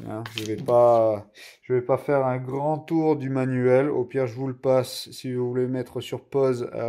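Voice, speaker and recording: A man's voice: mid-range voice, warm tone. A man giving a casual hands-on product review in an informal, mildly sweary and self-deprecating way.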